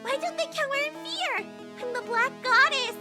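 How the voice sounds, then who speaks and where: A woman voicing a giant dark goddess in a comedic fantasy drama, grandiose and gleefully villainous yet harmless and naive. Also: extremely high pitch, moderate pace. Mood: exasperated. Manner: grandiose, theatrical gloating. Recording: music playing loudly behind. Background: loud music.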